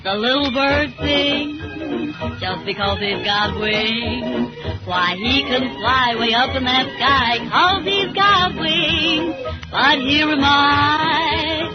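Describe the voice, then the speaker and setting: A woman singing a slow, old-time popular song. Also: high voice. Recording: dull old radio recording, loud music.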